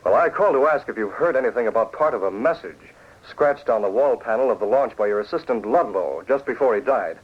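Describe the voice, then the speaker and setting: A shrewd publisher and secret crimefighter in an old radio serial. His voice is husky and lively in intonation, confident and deliberate, heard through muffled sound. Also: medium pitch. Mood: shrewd, measured. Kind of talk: 1940s radio acting. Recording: dull lo-fi 1940s radio recording.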